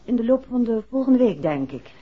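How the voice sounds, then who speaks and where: An actress playing an affectionate, playful, well-off wife in a 1960s radio drama. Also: female voice, fairly high pitch, very animated, brisk, husky. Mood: wry, relaxed. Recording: dull, faintly noisy old radio recording.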